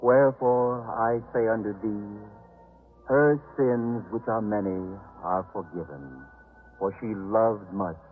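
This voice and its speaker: A man playing a solemn, gentle holy teacher with measured scriptural delivery in a 1950s radio drama. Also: fairly low pitch, soft background music. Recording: tinny vintage 1950s radio recording.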